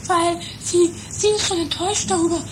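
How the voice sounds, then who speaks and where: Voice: very high-pitched, steady tone, normal speed. A performer voicing a bossy, doting girlfriend in an improvised eighties comedy radio play. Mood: apologetic, fussy. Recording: very noisy home radio play.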